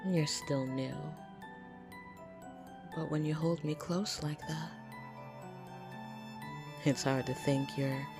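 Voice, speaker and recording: A woman voicing a lonely, flirtatious vampire in an ASMR roleplay, soft and breathy, teasing and intimate with hesitant pauses, tender yet easily irritated. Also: low-pitched, steady tone. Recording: soft piano music behind.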